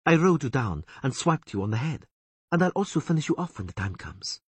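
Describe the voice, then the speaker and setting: A man's voice: medium pitch, sing-song, brisk, husky, no room sound, pitched above the performer's own voice. A man reading a story, voicing a cruel, spiteful young female elf warrior who hisses her taunts. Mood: hissing contempt. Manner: hissing, contemptuous taunts.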